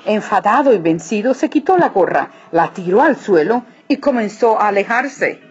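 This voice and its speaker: A woman narrating a children's story expressively, with deliberate, dramatic phrasing. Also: medium pitch, lively intonation, darkish tone, slightly rough. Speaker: storybook reader. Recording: muffled audio with music behind.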